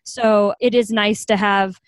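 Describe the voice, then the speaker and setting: A woman's voice: mid-range voice, fairly even intonation, slow, dry recording. A young adult woman, a guest, telling stories in a casual interview, laughing often as she talks. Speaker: founder of a hospitality home, guest.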